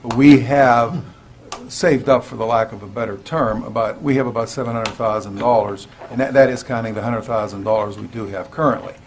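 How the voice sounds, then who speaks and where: A man with a middle-range voice addresses the public at an indoor meeting as a local official. He explains in plain terms, stopping to repeat and rephrase. There is clear ambient noise in the recording. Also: mid-range voice, fairly quick.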